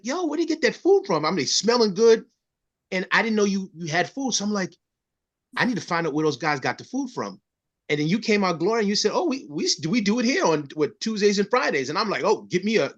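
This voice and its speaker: Emphatic Bronx man, slightly muffled. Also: high voice. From the Bronx, New York. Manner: emphatic, storytelling, repeats words. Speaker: co-host. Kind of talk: community interview. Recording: dull lo-fi sound.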